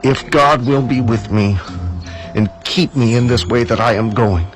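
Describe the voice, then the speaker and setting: A devout younger Hebrew man played by a male actor in a dramatized scripture production. His voice sits at a middle pitch, earnest and reverent, with some music underneath. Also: unhurried, lightly acted. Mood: earnest vow.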